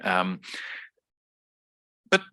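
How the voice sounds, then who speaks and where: A man's voice: drawn-out words, sharp tone, no echo. A man who is a native Swedish speaker, giving an explanatory lecture with frequent fillers and restarts. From Sweden. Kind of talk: online lecture.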